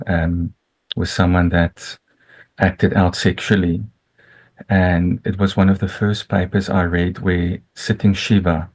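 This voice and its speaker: Deep husky Afrikaans-speaking man. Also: darkish tone. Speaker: audience member, clinician. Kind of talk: thanking the speaker in a discussion. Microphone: video call.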